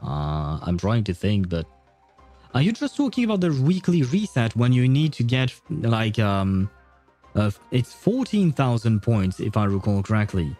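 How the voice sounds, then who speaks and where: A man's voice: fairly low pitch, very animated, unhurried, mellow, faint music behind. A man hosting a casual gaming livestream, chatting with viewers in a rambling, hesitant way. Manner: hesitant, rambling, chuckling often. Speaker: livestream host.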